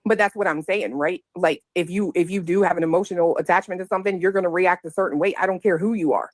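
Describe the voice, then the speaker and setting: A woman's voice: lowish voice, steady tone, quick pace, no room sound. A woman chiming in on a livestream chat, emphatic and indignant. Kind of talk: casual livestream commentary.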